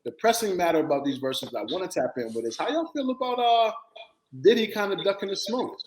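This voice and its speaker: A man hosting a casual sports and culture talk show, animated and quick to jump in over the others. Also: high voice. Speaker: podcast host. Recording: echoey room with faint noise.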